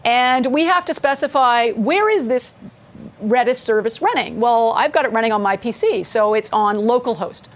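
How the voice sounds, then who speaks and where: A woman's voice: a little high, lively intonation, moderate pace. A woman casually walking through code as an instructor, chatty and upbeat, laughing along with her co-host. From mother from Scotland. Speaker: coding instructor. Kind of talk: casual screen-share coding lesson.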